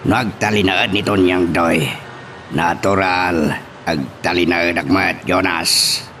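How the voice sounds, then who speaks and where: A man's voice: low-pitched, fairly even intonation, slow, sharp tone, full character voice. A man with an Ilocano accent acting a deferential, hesitant henchman of a crime family in a radio drama. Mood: earnest, deferential. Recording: clear background noise.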